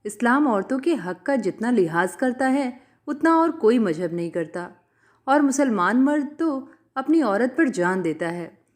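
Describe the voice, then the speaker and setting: Woman reading as a stern, zealous male cleric, animated. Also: a little high, measured pace. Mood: self-justifying. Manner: commanding, self-justifying, preachy.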